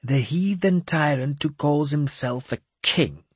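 A lofty, crafty madman of a bishop, his voice pitched high and stretched out in a slow, sing-song sermon cadence. He sounds slightly husky, and the sound is dry and close, as in an audiobook. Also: sing-song, no room sound, heavily acted. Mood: scornful. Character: mad, sly, lofty self-proclaimed male bishop.

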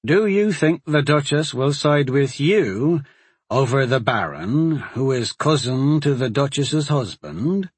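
A man voicing a sheriff, loyal to his lord and firm but uneasy, speaking in a measured, cautioning way. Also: medium pitch, lively intonation, husky, no room sound. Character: worried loyal sheriff, middle-aged man, stern.

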